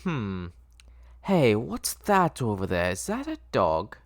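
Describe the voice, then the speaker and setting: A man's voice: on the low side, wildly swooping pitch, takes it slowly, dry recording, studio quality, pitched above the performer's own voice, full character voice. Man doing an eerie, coaxing ice cream man, raised voice. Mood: sly. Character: eerie, kindly male doctor selling ice cream.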